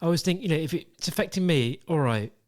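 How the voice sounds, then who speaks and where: A man's voice: on the high side, lively intonation, fast talker. Thoughtful British man co-hosting a podcast. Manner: thoughtful, conversational, frequent "right?" and "you know". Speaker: podcast co-host. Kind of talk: casual podcast chat.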